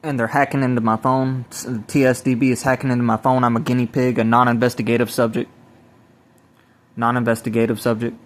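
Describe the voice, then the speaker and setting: A man giving a rambling personal testimony as an evangelist, speaking haltingly and repeating his phrases. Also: medium pitch, level tone. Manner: halting, repetitive, restarting phrases. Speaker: self-described evangelist recording a personal video. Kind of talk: rambling spoken testimony to camera or phone.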